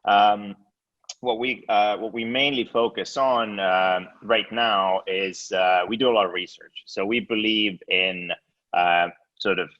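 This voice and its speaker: A man from Estonia talks in a very low voice, stopping and restarting his sentences and filling the gaps with "uh" and "um". The sound is dull and lo-fi, and the pitch stays deep throughout. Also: fairly even intonation, a little slow. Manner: hesitant, many fillers and restarts. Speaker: guest, exchange co-founder. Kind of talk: casual interview.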